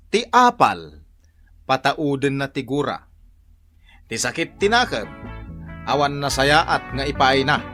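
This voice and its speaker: A scolding older brother in an Ilocano radio drama, over music. His mid-range voice is bright and swings widely as he moralizes. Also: mid-range voice. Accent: Ilocano Filipino. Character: chiding older brother, preachy and exasperated.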